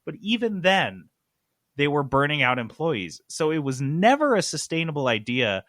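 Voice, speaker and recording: A man's voice: fairly high pitch, sing-song, normal speed, fairly bright tone, no echo, clean studio sound. A man hosting a gaming podcast, explaining news casually in an emphatic, sarcastic, sweary way. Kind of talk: casual comic explaining of game industry news.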